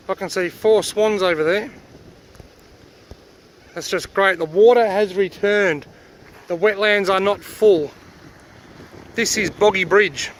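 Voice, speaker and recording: A man with an Australian accent and a fairly high voice, a little hoarse from a cold, walks and talks his way around the wetland in a relaxed, plain-spoken way. The sound is dry and close, with hardly any room around it. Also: dry recording. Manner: chatty and plain-spoken, pointing things out as he goes. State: slightly hoarse from a bug. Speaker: outdoor vlogger giving a tour. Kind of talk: casual walking-tour narration. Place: outdoors at wetlands by a creek and car park.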